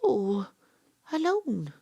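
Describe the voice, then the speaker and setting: A woman's voice: mid-range voice, wildly swooping pitch, drawn-out words, creaky, mellow, slightly rough, full character voice. An actress playing an earnest, troubled young Welsh woman who becomes a rugby captain, in an audio drama. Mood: astonished. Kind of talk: audio drama monologue and dialogue.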